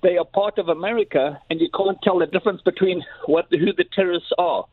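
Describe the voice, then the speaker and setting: A man's voice: high-pitched, fairly quick, no echo. Older man on a tinny phone line. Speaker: caller. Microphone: telephone.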